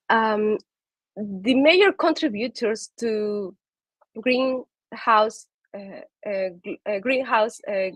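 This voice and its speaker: Peruvian woman, mid pitch, earnest speaker with fillers, dry recording. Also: no room sound. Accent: Peruvian Spanish. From Peru. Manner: earnest, with frequent fillers and restarts. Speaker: conservation speaker. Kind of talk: prepared talk.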